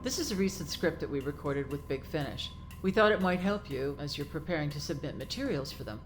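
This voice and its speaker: A woman's voice: sits low, expressive, a little fast, over music. A woman playing a gracious, friendly famous actress in audio drama dialogue, polite and cordial.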